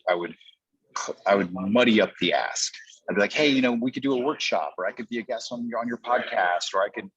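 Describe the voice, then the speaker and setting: A man with a notably deep voice talks as a coach in an informal training session. He explains in a conversational way, often voicing example lines as he goes. The sound is a bit dull and lo-fi. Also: a bit bright. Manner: explanatory, acts out example pitches in quotes. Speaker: podcast coach. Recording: dull, muffled lo-fi audio.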